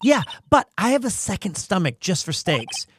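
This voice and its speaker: A man putting on an excitable, higher-pitched teenage girl. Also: normal speed, slight background noise. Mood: hungry. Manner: eager, playful. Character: excitable, hungry, cheeky teenage girl assistant. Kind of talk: video game dialogue read aloud.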